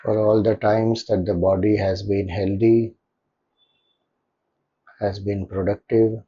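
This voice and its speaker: A man with an Indian accent leading a guided meditation as a spiritual teacher, speaking calmly and gently with deliberate pauses. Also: fairly low pitch, slightly rough, lo-fi sound.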